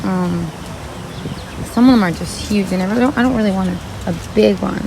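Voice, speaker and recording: A woman with a slightly raspy voice, chatting casually to her viewers as she browses plants in a garden center. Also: mid-range voice, normal speed, background noise. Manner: chatty, thinking aloud while browsing. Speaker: vlogger. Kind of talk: casual shopping vlog talking to viewers. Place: garden center of a large store.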